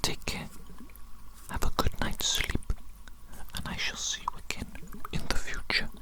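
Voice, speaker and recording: A woman doing a whispered ASMR roleplay as a smug, doting evil genius doctor, breathy and soothing, often repeating her phrases. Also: a little slow, poor audio. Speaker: ASMR performer.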